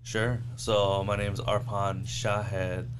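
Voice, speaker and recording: Relaxed, low-voiced man from Bangladesh. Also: slow pace, background noise. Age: 30s. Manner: relaxed, slangy, many "like"s and "um"s. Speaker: guest, physician. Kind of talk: casual podcast conversation.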